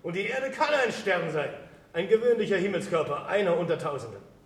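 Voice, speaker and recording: An actor playing an exuberant, self-assured astronomer in a German radio play, declaiming assertively. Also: male voice, sits high, expressive, fairly quick. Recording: roomy, distant, faintly noisy radio play recording.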